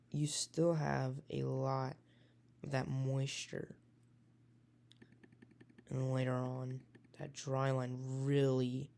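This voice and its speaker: A man with a raspy voice, a weather enthusiast casually talking through a forecast with many hesitations and self-corrections. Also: medium pitch, darkish tone.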